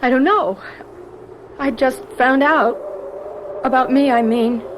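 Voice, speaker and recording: An actress playing an anguished, apologetic young woman, a professor's daughter, in a radio drama, speaking in a halting, pleading way. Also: high voice, rough recording. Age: young adult. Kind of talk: American radio drama acting. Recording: radio drama with clear background noise.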